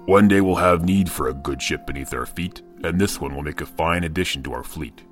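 A man voicing a hulking, menacing pirate captain with a gruff baritone and a commanding, blustering manner. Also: a little fast. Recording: narration with music underneath.

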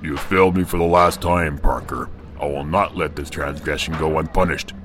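A man voicing a hulking, menacing pirate captain with a gruff baritone and a commanding, blustering manner. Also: moderate pace, fairly bright tone. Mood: indignant. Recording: narration with music underneath.

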